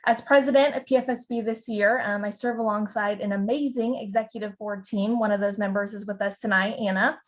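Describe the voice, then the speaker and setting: A woman's voice: medium pitch, steady tone, brisk, hardly any pauses. A young woman from Indiana, a college senior and student board president, giving an upbeat, polished introduction over a video call. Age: young adult. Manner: upbeat, polished, lightly scripted. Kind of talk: prepared panel introduction.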